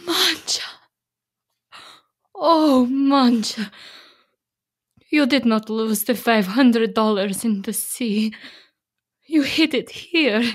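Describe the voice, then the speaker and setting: Casting: devoted, frightened young-family mother, high husky voice, slow halting radio-play delivery. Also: animated, full character voice. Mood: tearful relief. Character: devoted, frightened Slovak immigrant wife and mother. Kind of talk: radio drama acting.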